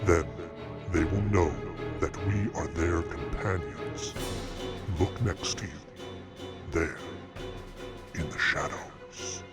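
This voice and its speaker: A sinister supernatural shadow creature in an old-time radio horror play. The voice is low and very slow, ominous and gloating, with loud music underneath. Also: male voice. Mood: gloating threat.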